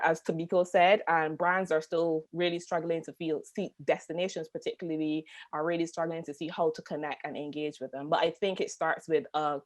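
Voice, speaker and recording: A woman from Barbados with a Barbadian accent, speaking as a panelist on a video call in an earnest, conversational way with many fillers. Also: low-pitched. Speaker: panelist, travel marketing agency founder. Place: home, UK.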